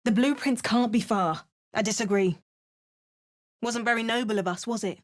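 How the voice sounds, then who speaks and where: A woman's voice: on the high side, normal speed, natural voice. A woman voicing a wry British adventurer. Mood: dry. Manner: dry, wry and matter-of-fact. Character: female adventurer hero, dry and wry. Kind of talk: video game voice lines. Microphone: close studio mic.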